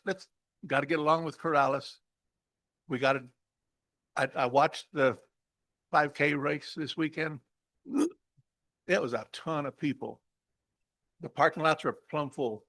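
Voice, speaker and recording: A man's voice: a little high, a bit bright, no room sound. A man giving a plainspoken, indignant public comment at a village council meeting, stumbling over repeated words. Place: council chamber.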